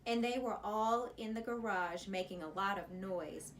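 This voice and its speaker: A woman reading a children's chapter book aloud as a teacher, in an expressive storytelling style. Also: medium pitch, a little slow, a little hiss or hum.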